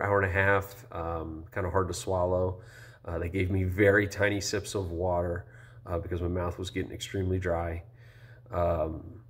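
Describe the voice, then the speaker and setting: Low-voiced man, congested and rambling. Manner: relaxed and rambling, many ums and restarts. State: congested after nasal surgery. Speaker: vlogger. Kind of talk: casual first-person review. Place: indoor room with high ceiling. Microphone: phone camera held close.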